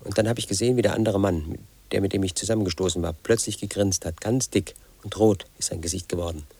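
A man's voice: low voice, fairly even intonation, fast talker, mellow, no echo. An actor playing a confused, paranoid man in his late thirties, telling a rambling radio play monologue. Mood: agitated. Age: middle-aged. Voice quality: gravelly, husky. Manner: rambling, confiding, sinks to a whisper. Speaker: lead actor.

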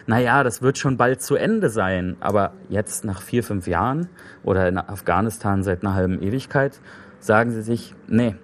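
A man talking as a guest on a radio show, earnestly explaining and often pausing with hesitations. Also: fairly low pitch, slight background noise. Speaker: studio guest. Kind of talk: radio discussion.

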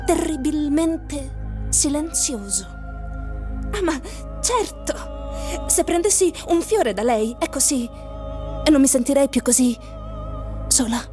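An actress playing a mysterious, alluring and wilful woman in a radio drama, with languid, drawn-out phrasing. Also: sits high, lively intonation, over music. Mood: coy.

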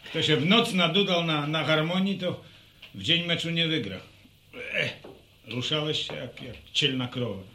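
Scornful country guy, forceful bright voice, blunt needling, roomy radio play. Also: a little high, normal speed. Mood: scornful, exasperated. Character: grumbling, scornful village man, a sore football fan. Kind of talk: radio drama acting. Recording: roomy, slightly noisy recording.

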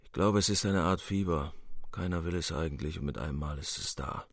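Older army buddy, radio play, really low voice, calm down-to-earth reasoning, no room sound. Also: male voice, no room sound, studio quality. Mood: resigned. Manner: measured, thoughtful, matter-of-fact. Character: Kat, seasoned older soldier, calm, sensible, down-to-earth.

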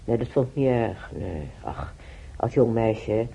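An actress playing a kindly, resigned elderly woman in hospital in a radio play, musing quietly to herself. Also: a bass voice, expressive, a little slow, vocal fry, slightly rough, exaggerated voice. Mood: kindly reminiscing. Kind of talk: Dutch radio play acting. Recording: noisy radio drama recording.

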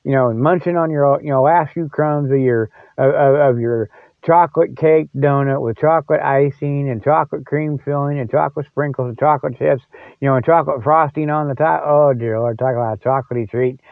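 A man's voice: mid-range voice, fairly even intonation, brisk, vocal fry, dry recording. An older American man hosting a podcast in rambling, casual solo chat, with a folksy, jokey way of talking. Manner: folksy, jokey, often chuckles. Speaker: podcast host. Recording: dull lo-fi audio.

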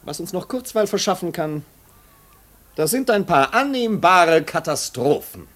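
An actor playing a cynical, melancholy marquis in a 1950s German radio drama, world-weary and imperious in manner. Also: male voice, sits high, big pitch swings, unhurried, a bit bright, exaggerated voice. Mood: bored, cynical. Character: jaded, cynical aristocratic marquis, male, melancholy, sardonic.

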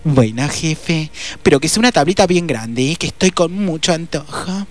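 A woman's voice: low-pitched, lively intonation, moderate pace, faint music behind. An Argentine woman playing an eager, curious and exclamatory detective's assistant in a radio drama. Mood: admiring, curious. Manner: exclamatory, questioning.

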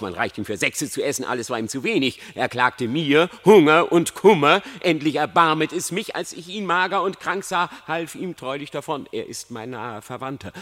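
A wily, silver-tongued fox pleads in radio-play verse. The voice is sharp and forceful, with ingratiating, declamatory delivery. It rings in a reverberant space. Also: male voice, medium pitch. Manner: theatrical, persuasive, declamatory. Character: sly, eloquent, ingratiating male fox on trial. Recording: reverberant studio sound.

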